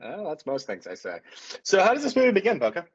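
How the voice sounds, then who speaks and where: A man's voice: high voice, huge pitch swings, fast talker, slightly rough. A man of thirty co-hosting a podcast, with dry, self-deprecating and crude humor. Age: 30s. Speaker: podcast co-host. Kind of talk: casual banter. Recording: dull lo-fi podcast audio.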